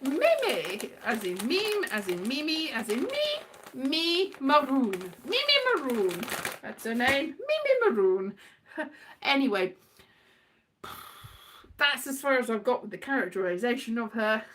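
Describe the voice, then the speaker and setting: British female vlogger, high-pitched and highly expressive; casual, whimsical, wandering delivery with playful dramatic flourishes. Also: measured pace, background noise. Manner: whimsical, digressive, playfully dramatic, breaks into quoted voices. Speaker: performer and vlogger. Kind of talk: rambling casual monologue.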